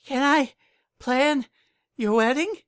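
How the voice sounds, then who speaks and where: A man voicing a hyper, zealous female party pony in an excitable, gushing story reading. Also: extremely high pitch, slow, pitched above the performer's own voice, heavily acted. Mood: eager, pleading. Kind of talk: dramatic reading of fan fiction.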